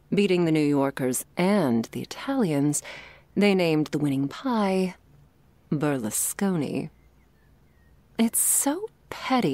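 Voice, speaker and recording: A woman playing an affectionate, wry girlfriend in an intimate ASMR roleplay, talking softly and teasingly to her sleepy partner. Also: sits low, no room sound, exaggerated voice. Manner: soft, teasing, drifting between whispers and playful chatter.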